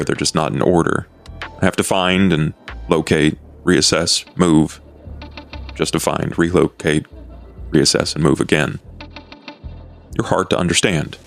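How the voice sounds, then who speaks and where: A man voicing a brooding, enigmatic male vampire who speaks in a deliberate, formal, roundabout way in improvised roleplay. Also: sits low, fairly even intonation, vocal fry, soft background music. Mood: brooding, intrigued. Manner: deliberate, formal, talks in circles. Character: brooding, soft-spoken, enigmatic male vampire fixer named Duke.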